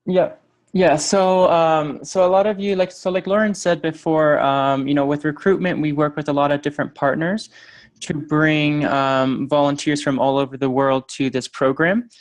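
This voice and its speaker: Man, webinar presenter, monotone, halting, lots of fillers. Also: on the high side. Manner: hesitant, many ums and restarts. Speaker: program staff presenter.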